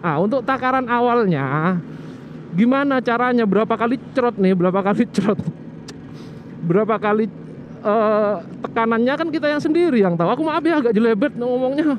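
A man's voice: very high voice, brisk. A man casually explaining a scooter maintenance trick outdoors, rambling and often restarting or correcting himself. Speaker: motovlogger. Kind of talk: casual how-to vlog chat. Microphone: action camera. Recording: muffled action-cam audio with street noise.

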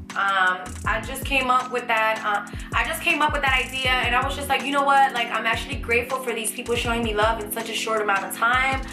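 A young woman in her twenties, chatty and animated, answering viewer questions in a casual vlog from a small bedroom. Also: a little high, roomy sound. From United States. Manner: chatty, animated, lots of fillers and restarts. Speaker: vlogger. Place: small bedroom with shelves, indoors.

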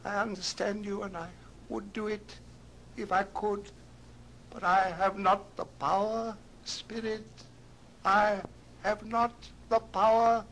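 An actor playing a frightened old miser in an old radio drama, theatrical and imploring. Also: male voice, high-pitched, flat delivery, slow pace, fairly bright tone, a little hiss or hum, exaggerated voice, low-quality recording. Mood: beseeching. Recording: old record with music underneath.

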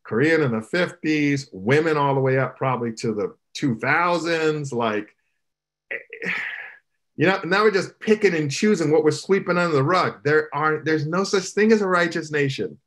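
A middle-aged male pastor talks with a preaching cadence, his voice pitched a little high and driven by emphasis. He sounds like he is on a webcam video call from a room at home, gesturing as he makes his points. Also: animated, normal speed, a bit bright. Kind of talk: animated panel discussion. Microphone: video call, webcam from below.

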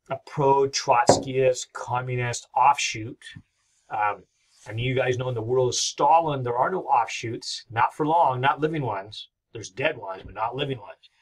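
A man's voice: medium pitch, husky. A middle-aged man giving a recorded history lecture from his home office, talking in a rambling, enthusiastic way with many fillers. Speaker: history professor.

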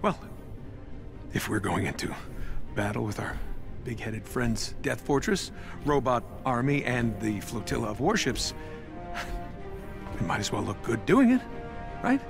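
An actor playing a wry, flippant armored superhero inventor in a video game, talking in a glib manner. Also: male voice, mid-range voice, big pitch swings, measured pace, creaky, music in the background. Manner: glib, wisecracking. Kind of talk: video game cutscene dialogue.

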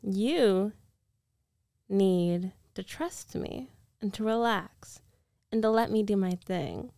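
A woman acts out a rich, domineering ex-girlfriend in a girlfriend ASMR roleplay. She speaks slowly and teasingly, sure of herself and possessive, in a very dry, close-sounding recording. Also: medium pitch, expressive, dark tone, no room sound. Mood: insistent, possessive. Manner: slow, coaxing, self-assured, teasing.

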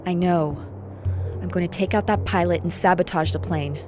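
Woman doing tough lady spy from a video game, clipped n serious, loud music under her. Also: on the low side, normal speed, natural voice. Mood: focused, businesslike. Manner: terse, clipped, no-nonsense. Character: hard, determined female ex-agency operative. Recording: music behind the voice.

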